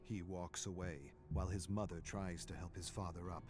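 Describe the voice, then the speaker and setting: A man reading a dramatic scripted story in a measured, brooding way, in short clipped phrases. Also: sits low, steady tone, over music. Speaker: narrator.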